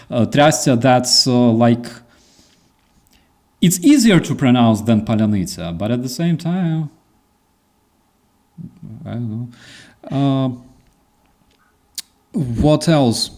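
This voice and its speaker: A man with a dark, mellow voice hosts a relaxed chat. He talks animatedly, acting out little quoted phrases and padding his speech with fillers. His pitch sits in the ordinary middle range for a man. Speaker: host. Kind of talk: casual chat.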